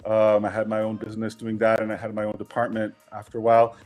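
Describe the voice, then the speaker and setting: A middle-aged man from Queens, New York, a guest in a casual interview, relaxed and candid, laughing often. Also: lowish voice, fast.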